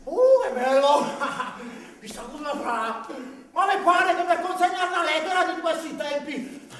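A high, clownish voice rings around a very echoey stage, far from the microphone. It is a bumbling male servant from commedia dell'arte, muttering, grumbling and exclaiming his way through broad improvised comedy. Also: lively intonation, unhurried.

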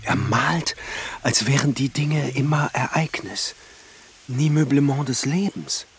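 An actor playing a reverent, awestruck glassmaker in a German radio play, speaking in a halting, theatrical way that often drops towards a whisper. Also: male voice, medium pitch, a little hiss or hum, lightly acted. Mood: hushed reverence. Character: awestruck male glassmaker rapturously contemplating a painting. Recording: loud music behind the voice.

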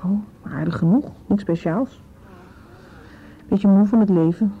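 An actress plays a frank young activist woman with a middling pitch and a wry, teasing manner. It is naturalistic radio drama on a dull, lo-fi recording. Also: unhurried, a little hiss or hum, close to an everyday voice.

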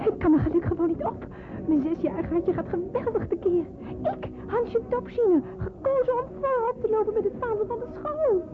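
An actress playing an excited, proud and naive six-year-old girl in a Dutch radio play. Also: extremely high pitch, brisk, hardly any pauses. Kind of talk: radio drama acting, first-person narration and dialogue. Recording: tinny band-limited old radio play, background noise.

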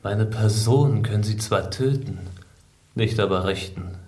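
An actor in a radio drama playing a proud, defiant revolutionary held in prison, terse and earnest. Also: male voice, lowish voice, measured pace, a little mellow, close to an everyday voice. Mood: proud defiance. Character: proud, defiant imprisoned male revolutionary assassin.

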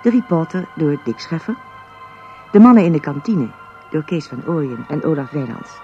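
Male announcer, formal credits read, somewhat high voice over music, old dull radio sound. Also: very animated, moderate pace, mellow. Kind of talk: formal scripted credits reading.

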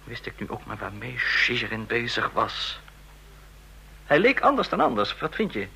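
An actor playing an ambitious, jealous young counter-espionage agent in a radio drama, insistent and sceptical in manner. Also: male voice, medium pitch, huge pitch swings, moderate pace, gravelly, sharp tone, slightly rough, exaggerated voice, low-quality recording. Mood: restless, frustrated. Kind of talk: Dutch radio drama acting.

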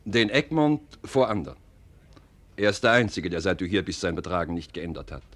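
An actor playing a loyal, coolly ruthless officer in a classical radio play, speaking with deliberate, formal stage diction. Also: male voice, sits low, fairly bright tone. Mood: coolly confident.

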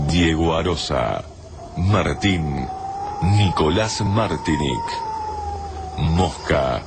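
A man announcing a radio drama as a scripted promo, measured and dramatic. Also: very deep voice. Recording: music bed behind announcer.

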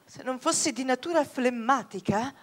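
A woman's voice: high-pitched, expressive. An actress playing a cheeky housekeeper in a comic classical radio drama, teasing her betters with mock-learned pomp. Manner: mock-pompous, teasing, drawn-out.

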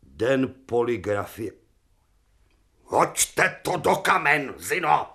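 A refined, short-tempered professor in a radio drama. He stays icily polite while barely holding back irritation. His pitch moves freely as he talks. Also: very animated, pushed and bright, slightly rough, full character voice. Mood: ironic. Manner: ironic, polite, exasperated.

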